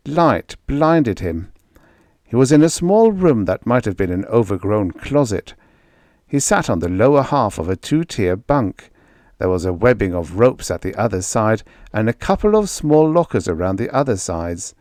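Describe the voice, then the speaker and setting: A man's voice: lowish voice, sing-song, moderate pace, a little mellow, no echo, clean studio sound. A man reading a story aloud as a scripted audiobook narration.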